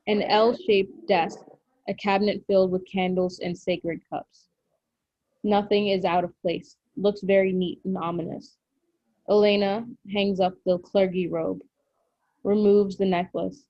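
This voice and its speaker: A woman reads scripted stage directions as narrator. Her voice is medium in pitch, and the recording is very dry and slightly dull. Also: darkish tone, dry recording. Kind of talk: scripted narration at a table read.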